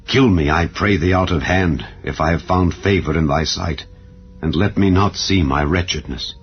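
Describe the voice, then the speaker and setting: A man reads scripture as a dramatized narration, with a solemn, measured delivery. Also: deep voice. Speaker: Bible narrator. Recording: dull, band-limited audio with background music. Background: background music.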